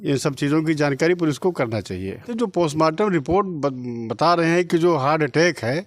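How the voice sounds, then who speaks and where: Insistent middle-aged man, higher-pitched, dry sound. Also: expressive, a little fast, no room sound. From Uttar Pradesh, India. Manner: insistent, argues point by point, repetitive. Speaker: relative of the victim being interviewed. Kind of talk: interview testimony.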